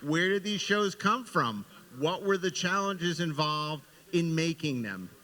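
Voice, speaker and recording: A man's voice: fairly high pitch, expressive, measured pace, sharp tone. Enthusiastic man hosting in an echoey hall. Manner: enthusiastic, effusive. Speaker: podcast and convention panel host. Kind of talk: unscripted hosting and guest introductions. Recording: echoey hall with long reverb.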